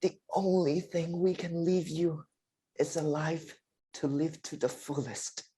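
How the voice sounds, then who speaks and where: A man playing a weary, dying father in a staged play reading, speaking deliberately and with open emotion. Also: on the high side, fairly even intonation, dark tone, breathy edge. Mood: tender, remorseful. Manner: slow, deliberate, emotive delivery. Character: dying, confined father, weary, pleading and confessional.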